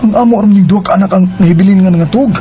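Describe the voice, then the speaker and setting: Male actor as penitent cheating husband, Cebuano Filipino accent, radio drama, exclamatory, slightly high pitch, music bed. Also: racing, close to an everyday voice. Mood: earnest, then worried. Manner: hesitant, emotional, exclamatory. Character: remorseful unfaithful husband and father, pleading, struggling for money.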